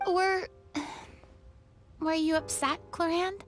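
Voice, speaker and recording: A woman voicing a gentle, curious young female character in a video game, chatty, polite and expressive. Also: very high-pitched, takes it slowly, soft background music. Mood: thoughtful.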